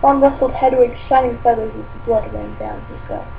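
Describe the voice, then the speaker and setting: A woman's voice: on the high side, fairly even intonation, fairly quick, vocal fry. A woman voicing a dreamy, oddball teenage witch girl who reads fan fiction aloud in character, with airy, politely curious, rambling asides. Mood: dreamy reading. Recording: tinny phone-like sound with faint hum.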